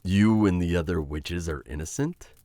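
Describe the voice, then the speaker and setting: A man playing a menacing, conflicted witch hunter in an ASMR roleplay, addressing his captive in a halting, intimate, taunting way. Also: low-pitched, dark tone, no echo, studio quality, natural voice. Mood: incredulous.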